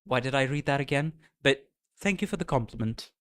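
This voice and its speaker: Excitable streamer with a light Indian accent. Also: male voice, medium pitch, brisk. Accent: Indian, blended with American and British. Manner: excitable, chatty, greets viewers by name. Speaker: streamer reacting to game music. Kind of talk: casual live-stream chat.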